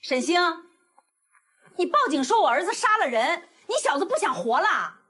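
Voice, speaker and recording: A woman performs a landlady in an animated drama. Her voice sits high, and she is brash and pushy, the bullying mother of a grown son who expects to get her way. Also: normal speed, heavily acted. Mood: angry, threatening. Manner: pushy, scolding or wheedling. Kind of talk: Chinese animated audio drama acting.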